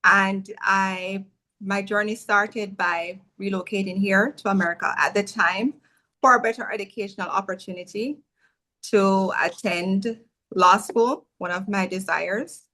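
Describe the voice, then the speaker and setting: A woman with a Jamaican accent, an immigration attorney answering interview questions in an earnest, measured way, often restarting her sentences. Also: mid-range voice, steady tone, bright, forceful tone. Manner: earnest, measured storytelling with frequent self-corrections.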